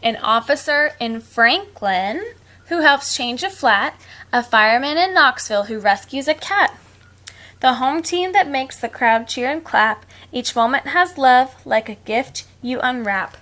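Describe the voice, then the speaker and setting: A woman's voice: sits high, measured pace. A woman reading picture books aloud to children like a teacher, expressively, with simple casual asides. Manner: expressive, simple explaining to young listeners.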